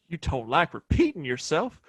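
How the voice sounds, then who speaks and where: A man's voice: on the high side, wildly swooping pitch, normal speed. An American man acting a cocky, hot-tempered gunslinging bully in a western audio play, with a blustering, folksy way of talking. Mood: menacing, indignant. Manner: blustering, folksy drawling insults.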